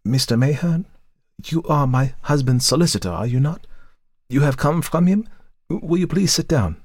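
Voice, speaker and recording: A man voicing a calm, cold foreign woman in an audiobook, quiet and deliberate, with hatred smoldering underneath. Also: medium pitch, slightly rough, dry recording, studio quality, voice pushed up. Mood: composed, probing. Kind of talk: audiobook character voice.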